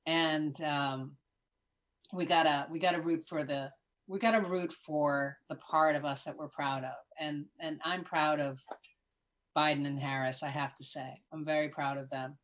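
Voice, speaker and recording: A woman guest in casual conversation, telling an emphatic, animated story. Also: low voice, a little slow.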